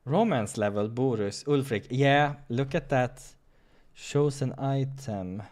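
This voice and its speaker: Slow-paced man hosting a game playthrough. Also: medium pitch, a little mellow. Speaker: gaming playthrough host. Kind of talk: dramatic narration and casual playthrough commentary.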